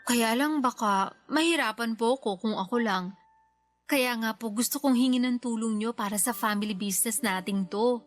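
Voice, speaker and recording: A woman's voice: a little high, normal speed, faint music behind, close to an everyday voice. A woman playing a respectful, ambitious daughter in her twenties, polite and eager, in a radio drama. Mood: eager, determined. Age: young adult. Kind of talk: Tagalog radio drama acting.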